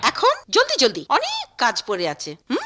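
A woman voicing a bossy, two-faced city housewife in a cartoon, shrill and impatient with a husky edge. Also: huge pitch swings, normal speed, fairly bright tone, a little hiss or hum.

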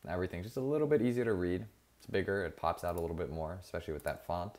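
A man narrating a screen-recorded coding tutorial in a casual, relaxed way, with frequent fillers and self-corrections. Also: low voice, very fast. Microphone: voice-over over screen recording.

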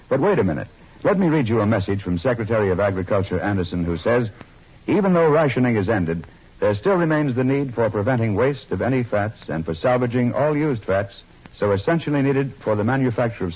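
A man's voice: on the low side, husky. A man working as a 1940s radio announcer, reading scripted sponsor messages in a polished, persuasive way. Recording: muffled 1940s radio broadcast.

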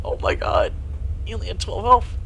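A man playing an online shooter, calling out to teammates in an excitable, exclamatory way. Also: high-pitched, normal speed, vocal fry, husky, low-quality recording. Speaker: player in a group online shooter roleplay. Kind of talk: casual gaming chatter, calling out to teammates.

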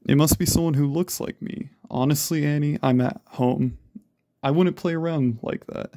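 A man's voice: on the high side, fairly even intonation, normal speed, mellow, no room sound, studio quality, natural voice. A man playing a worried young man trying to reassure his friend, earnest and subdued, in a horror story. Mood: defensive, uneasy. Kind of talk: dramatised horror story reading. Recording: eerie music behind. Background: ominous background music.

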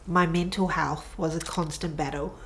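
A woman in her twenties with a New Zealand accent, reflecting quietly in a documentary interview. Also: low voice, steady tone, moderate pace. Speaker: athlete. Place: indoor room with framed photos. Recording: music underneath.

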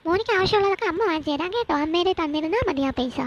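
Someone playing a high-voiced, fussing cartoon mother. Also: lively intonation, racing, dull audio. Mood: coaxing, insistent. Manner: coaxing, nagging. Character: fussing, coaxing young mother of a small boy. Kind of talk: cartoon skit dialogue.

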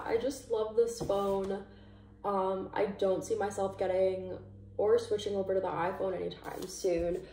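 A young woman from Canada talks her way through a casual review, looping back with likes and ums. Her voice is mellow with a gravelly fry. She sounds a little distant from the camera in an echoey room with a faint hiss behind her. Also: medium pitch. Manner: chatty, rambling, many likes and ums. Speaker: vlogger. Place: small plain room indoors. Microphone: camera a few feet away.

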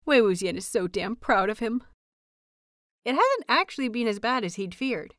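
A woman's voice: fairly high pitch, expressive, moderate pace, fairly bright tone, no echo. Woman narrating audio fiction, dry close sound. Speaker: host and narrator of an audio fanfiction show.

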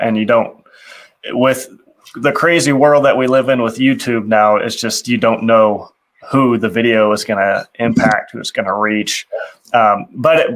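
A man's voice: mid-range voice, a bit bright, rough recording. A man in his thirties hosting an interview over a video call, responding supportively and thinking aloud with fillers. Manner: supportive, thoughtful, with frequent fillers. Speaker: host, interviewer. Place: studio with dark backdrop.

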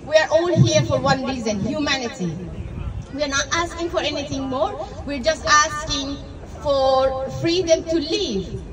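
A woman's voice: sits high, a little slow. A woman from Afghanistan in her thirties gives a heartfelt, hesitant speech into a handheld microphone at an outdoor rally. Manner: heartfelt, earnest, hesitant with fillers. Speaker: rally speaker. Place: outdoor city plaza at a rally. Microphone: handheld microphone through loudspeakers, filmed from a distance. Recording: distant, echoing public-address sound outdoors. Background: crowd and traffic.

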